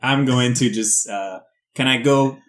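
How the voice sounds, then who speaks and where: A man's voice: medium pitch, a little slow, fairly bright tone. A man chatting casually as a player at a tabletop game, questioning and a bit flippant.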